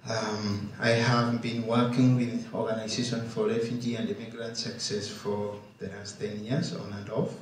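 A man with a Kenyan accent speaking as a farmer on a discussion panel, slow and thoughtful, pausing to find his words, on a handheld mic in a large wooden barn hall. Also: lowish voice, level tone, a little hiss or hum. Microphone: handheld mic, far camera. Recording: echoey barn from far off.

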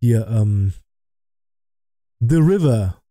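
Guy in his 30s, streamer chatting casually, really mellow dark tone, dry room close mic. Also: mid-range voice, lively intonation, very slow, no room sound. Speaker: streamer hosting a reaction stream. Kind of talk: casual livestream chat. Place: small indoor home streaming room. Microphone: close desk microphone on an arm.

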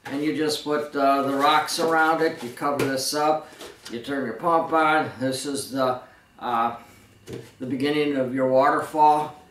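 A man's voice: mid-range voice, steady tone, measured pace, a bit bright, slight background noise. A middle-aged man giving an informal product demonstration in a rambling, folksy, plainspoken way, recorded in a living room from a camera a few metres away. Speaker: waterfall materials seller and presenter. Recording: roomy, echoey, distant camera microphone.

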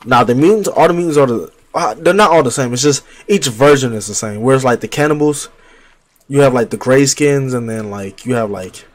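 A young man livestreaming a game on a headset, chatting loosely in slang and trash-talking enemies, his voice deeper while getting over a cold. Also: a little fast. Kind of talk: casual gameplay commentary. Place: home gaming setup, indoors.

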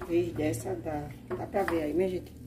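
A woman's voice: deep voice, fairly even intonation, normal speed, very noisy. Chatty woman vlogging from her kitchen. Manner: chatty, talks to viewers while cooking. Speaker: vlogger cooking at home. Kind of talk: casual cooking vlog narration. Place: small home kitchen, indoors. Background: loud sizzling pan and kitchen clatter.

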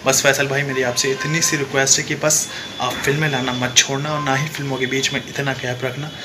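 A man in his twenties from Uttar Pradesh, India, giving steady praise in a phone video indoors. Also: medium pitch, fairly quick. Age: 20s. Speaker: fan sending a video message. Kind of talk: selfie video message. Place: indoors against a plain wall. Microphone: phone camera at arm's length. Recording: phone video with background noise.

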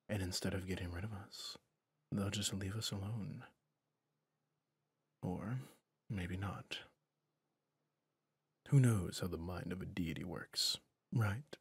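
Casting: male actor as a resigned, tender villain for an ASMR fantasy drama; low, gravelly, breathy near-whisper, brooding and confiding. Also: dry recording, heavily acted. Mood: pitying, weary. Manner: slow, brooding, confiding with pauses. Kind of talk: intimate ASMR roleplay drama.

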